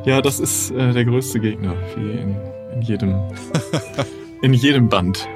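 A man chatting casually as a guest player, halting and repeating his words. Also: medium pitch. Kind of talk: casual chat. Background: music.

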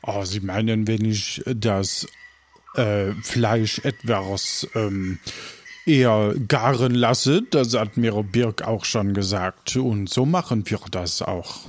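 A man playing a polite, flattering French cannibal cook in a tabletop game, haltingly and with French words mixed in. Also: fairly low pitch. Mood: hesitant, courteous.

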